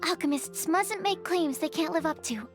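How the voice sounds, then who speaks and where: A woman's voice: extremely high pitch, normal speed. A woman playing a shy, earnest young alchemist in a video game, with polite, precise, scholarly phrasing. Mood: quietly hopeful. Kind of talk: video game character voice acting. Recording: background music under the voice.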